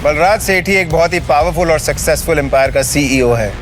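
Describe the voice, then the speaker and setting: A male actor plays a once-scorned man who secretly holds great power, in an audio drama. His slightly high voice is deliberate, taunting and sure of itself, set over clear background music. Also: close to an everyday voice. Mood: cold menace. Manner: taunting, deliberate, self-assured. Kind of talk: Hindi audio drama acting.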